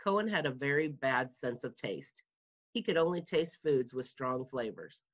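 Woman reading a kids fact book out loud, bit husky, careful, dull muffled recording. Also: very deep voice, animated, moderate pace. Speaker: school media specialist reading aloud. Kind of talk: read-aloud of a children's nonfiction book.

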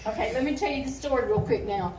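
High-voiced woman storyteller in an echoey church. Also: fast talker. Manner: simple, repetitive coaching with call-and-response. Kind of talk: interactive Bible storytelling to children. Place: church sanctuary stage, indoors. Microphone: recorded by a distant audience camera. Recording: distant, echoey church hall, noisy room. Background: children and room noise.

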